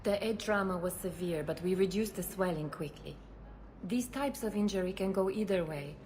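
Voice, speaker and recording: A woman's voice: fairly low pitch, normal speed, a little mellow, close to an everyday voice. A woman playing a composed, caring head physician in a dramatic video game. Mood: reassuring. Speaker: doctor. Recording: ambient background noise.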